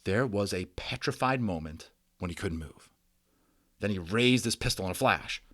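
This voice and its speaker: A man reading a children's book aloud as a storyteller, expressive and dramatic, with conversational explanations for listeners. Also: on the low side, normal speed, dry recording, studio quality. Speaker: storybook reader.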